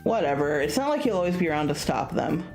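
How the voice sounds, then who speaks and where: A woman's voice: low voice, sing-song, fast talker, darkish tone, faint music behind. A woman voicing an anxious young male student in a visual novel, hesitant, self-conscious and a little sulky. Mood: sulky, worried.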